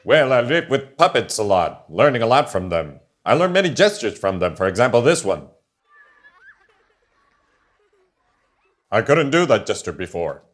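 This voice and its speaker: Man voicing an excitable, swooping puppet character. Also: medium pitch, brisk, natural voice. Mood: solemn. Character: excitable male TV puppet, hammy and dramatic. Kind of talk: fan-dubbed puppet comedy show.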